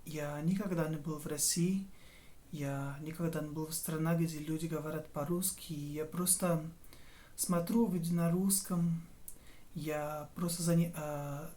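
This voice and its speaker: A young man from Brazil with a Brazilian Portuguese accent, a Portuguese native speaker talking casually to camera in halting speech, often stopping to search for words and correct himself. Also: on the high side, mellow. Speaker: language learner and tutor.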